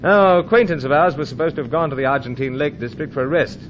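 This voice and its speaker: An actor playing a hearty, unflappable British secret agent in an old-time radio adventure serial, with a plummy, polite, chummy manner. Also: male voice, a little high, fast talker, lightly acted. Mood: hearty, reassuring. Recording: muffled recording with clear engine noise.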